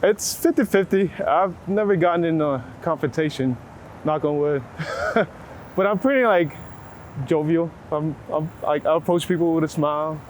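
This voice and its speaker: A man's voice: fairly high pitch, normal speed. An American man from Texas talking casually and reflectively as a photographer in an interview, with an informal, searching way of putting things. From Waco, Texas, United States. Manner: reflective, informal, hedging with frequent "like". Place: outdoors on a city street. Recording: clear street and traffic noise. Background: urban ambience, passing vehicles.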